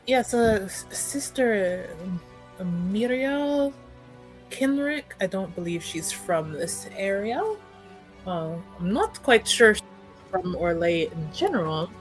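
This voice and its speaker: A woman playing a dutiful young noblewoman in a tabletop game, speaking formally and with measured, dryly wry wording. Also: mid-range voice, expressive, soft background music, poor audio. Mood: formal, guarded.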